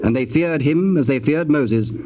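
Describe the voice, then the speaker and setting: Solemn male narrator on a noisy tape. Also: fairly high pitch. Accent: British. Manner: solemn, formal, deliberate phrasing. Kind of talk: scripted dramatic Bible narration. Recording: dull, noisy old cassette tape.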